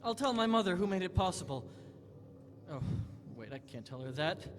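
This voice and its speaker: An actor playing an earnest, brooding young horror writer, hesitant and formal, in a theatrical audio drama. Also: male voice, high-pitched, expressive, moderate pace. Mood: shy gratitude. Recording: noisy live stage recording.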